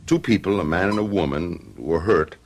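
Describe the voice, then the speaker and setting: Radio play husband: low voice, protective, careful, troubled but steadying. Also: male voice, moderate pace. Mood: grave, calming. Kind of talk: old-fashioned radio drama acting.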